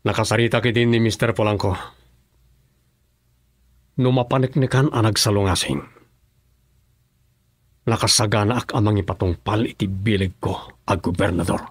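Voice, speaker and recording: A man's voice: fairly low pitch, fairly even intonation, moderate pace, long pauses, husky. A man with an Ilocano accent playing an earnest, firm provincial governor in a radio drama.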